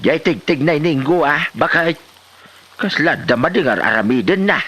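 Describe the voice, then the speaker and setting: A man's voice: a little high, animated, moderate pace, a bit bright, husky. An actor playing a middle-aged, doubtful and stern father of a grown son in an Ilocano comedy drama, with an Ilocano accent.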